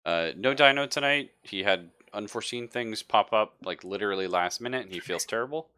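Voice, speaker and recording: A man's voice: on the low side, brisk, pushed and bright, clean tone. A man running a tabletop roleplaying game as game master, narrating casually. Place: live online game session.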